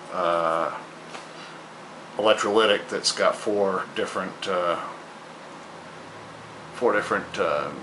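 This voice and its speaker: A man casually narrating his hands-on work, halting as he thinks aloud, recorded on a handheld camera indoors. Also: low voice, background noise. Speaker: hobbyist radio repairer presenting his own video. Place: indoors at a wooden table in a home. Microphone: handheld camera, held near the work.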